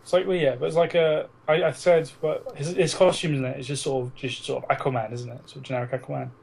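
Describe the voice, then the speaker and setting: A man's voice: on the high side, flat delivery, fast, creaky, darkish tone, husky. A British man co-hosting a podcast in casual, teasing, sweary banter with a friend. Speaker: podcast co-host.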